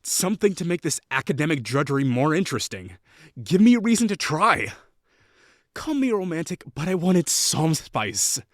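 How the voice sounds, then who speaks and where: A man's voice: a little high, very animated, dry recording, studio quality. A young man playing a haughty, sarcastic college rival who is secretly lonely, in a scripted audio roleplay. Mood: exasperated. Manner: sarcastic, scornful, quick to bristle.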